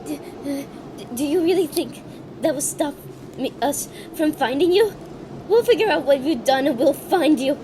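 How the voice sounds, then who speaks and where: A woman playing a defiant, indignant young female adventurer in an audio drama, emotive and halting. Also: sits high, animated, noisy background.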